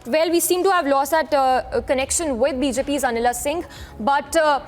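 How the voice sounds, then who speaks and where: A woman with a high voice and an Indian accent anchors live breaking news. She ad-libs haltingly, circling back over the same points as she reports. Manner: halting, repetitive ad-libbed delivery.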